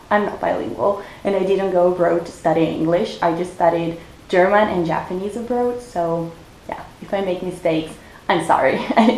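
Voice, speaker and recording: A young Italian woman in her twenties, speaking English with an Italian accent, chats to an action camera held at arm's length. Her voice sits at a middle pitch and sounds roomy. Steady noise runs underneath. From Italy. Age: 20s. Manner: chatty, with frequent "so yeah" fillers. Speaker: vlogger, university student. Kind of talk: casual vlog talk to camera. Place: small bedroom, then outdoors in city streets and a lecture hall.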